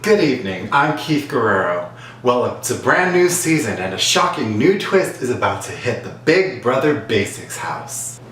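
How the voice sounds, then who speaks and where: A man hosts a reality competition show with a dramatic announcer's delivery, his pitch leaping up and down. He sounds roomy and a bit off the mic, with a faint hiss underneath. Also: big pitch swings, normal speed, over music. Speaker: host. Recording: echoey room, distant mic, faint hiss.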